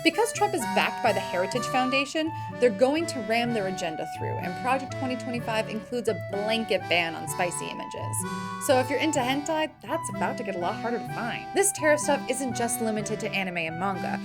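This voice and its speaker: A woman's voice: on the high side, lively intonation, a little fast. An American woman hosting a film news show, delivering scripted commentary in a conversational, opinionated, explanatory way. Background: background music.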